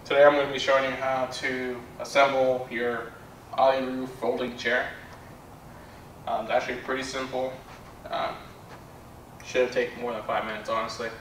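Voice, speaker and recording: A young man giving a casual product demonstration in a plainspoken, low-key way, recorded indoors on a distant camera mic. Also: level tone, normal speed, pauses often, fairly bright tone. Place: bare indoor room with carpet. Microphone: far camera. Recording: roomy echo, distant mic, background noise.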